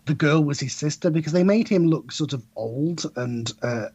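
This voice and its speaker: A man's voice: fairly high pitch, moderate pace, mellow, slightly rough, highs cut off. A man chatting casually as a podcast guest, giving sardonic, joking commentary with some offhand swearing.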